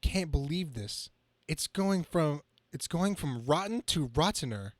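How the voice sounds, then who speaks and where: Guy reading as young comic book superhero, quippy but kinda whiny about his bad luck, highish voice. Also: lively intonation, unhurried, no echo. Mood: anxious, fretful. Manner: quippy, self-pitying, dramatic reading from a page.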